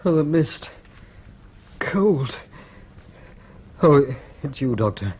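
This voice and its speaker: An actor playing a dazed, shaken and confused injured man in a radio drama, halting and repeating words. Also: medium pitch, big pitch swings, a little mellow, slightly rough, exaggerated voice. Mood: dazed, pained.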